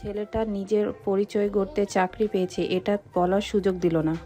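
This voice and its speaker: A woman's voice: medium pitch, little pitch movement, moderate pace, warm tone, soft background music, natural voice. A woman playing an anxious middle-aged mother confiding in her son in an audio drama. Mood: aggrieved, secretive. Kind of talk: audio story acting.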